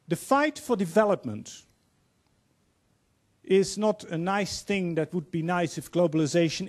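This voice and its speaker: A man in his fifties with a Dutch accent, giving an earnest, measured opening speech as panel moderator in a large auditorium, holding a handheld mic. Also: on the high side, a little mellow. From Netherlands. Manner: earnest, measured, quoting speakers.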